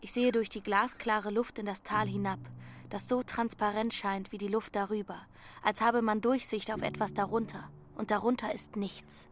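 A woman's voice: mid-range voice, steady tone, moderate pace, slight background noise, natural voice. A woman playing a dreamy, stubborn teenage runaway girl who tells her story intimately in short plain phrases in a radio drama. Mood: brooding, dreamy. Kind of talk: first-person radio drama narration with dialogue.

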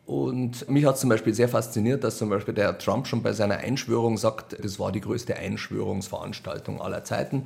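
Low, very creaky Bavarian man. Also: fairly even intonation, fairly quick, hardly any pauses, a little mellow. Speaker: radio play author and director being interviewed. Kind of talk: conversational interview answers.

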